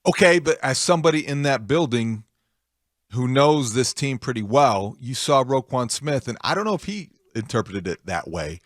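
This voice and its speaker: A man's voice: mid-range voice, sing-song, moderate pace, no echo, clean studio sound. A man hosting a sports radio show, laying out analysis and putting questions to a guest. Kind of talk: interview questioning with game analysis.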